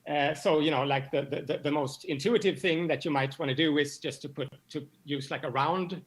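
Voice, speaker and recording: A man explaining science as an interview guest, halting and often repeating words as he goes. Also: fairly high pitch. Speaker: astronomy professor, interview guest.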